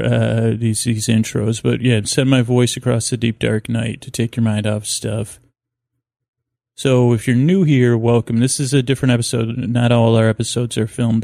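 A gravelly man hosts a bedtime podcast from a hotel room. His voice is mellow and fairly flat, and he meanders haltingly, doubling back on words and letting sentences trail off. The sound is close and dry. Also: mid-range voice, no echo. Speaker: podcast host. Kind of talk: rambling bedtime monologue for sleep. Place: hotel room with freeway outside.

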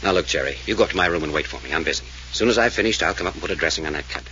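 An actor playing a tough, level-headed adventurer detective, brisk and matter-of-fact, in a 1940s radio drama on a dull old recording. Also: male voice, low-pitched, animated, bright, forceful tone. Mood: firm. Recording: dull lo-fi old radio transcription with clear hiss.

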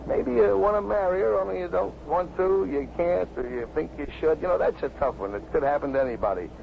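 Gravelly, plain-spoken American cop. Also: male voice, sits high, animated, fast talker. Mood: coaxing. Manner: colloquial, hesitant, reassuring. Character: plain-spoken, kindly policeman talking a youth down. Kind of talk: 1950s radio drama acting. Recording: muffled 1950s radio recording, faint noise.

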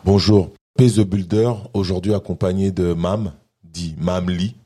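Low-voiced podcast host. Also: male voice, a little slow, breathy edge, dry recording. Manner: brief, relaxed prompting questions. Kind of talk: scripted podcast intro, then casual interview.

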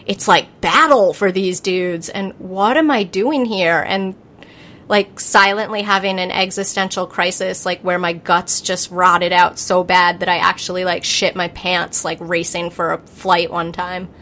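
A woman's voice: mid-range voice, fairly bright tone. Rambling, swearing woman podcast host. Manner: swearing, rambling, self-mocking, many fillers. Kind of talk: candid conversational storytelling.

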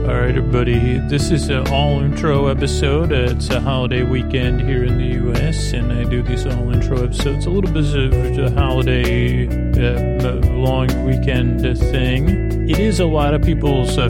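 A man hosting a podcast in a creaky voice, giving a soothing, meandering bedtime monologue with halting repeats and stretched pauses. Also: medium pitch. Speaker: podcast host.

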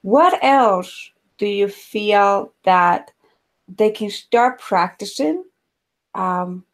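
A woman from Ecuador, a somatic healer hosting a podcast conversation, talking in a searching, rambling way with many fillers. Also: medium pitch. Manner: searching, rambling, filler-heavy, often laughs.

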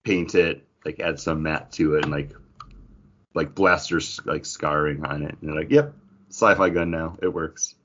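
A man's voice: very deep voice, a little slow, a bit bright, highs cut off, a little hiss or hum. A man co-hosting a podcast in casual chat, with an informal, jokey, geeky enthusiasm. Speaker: podcast co-host.

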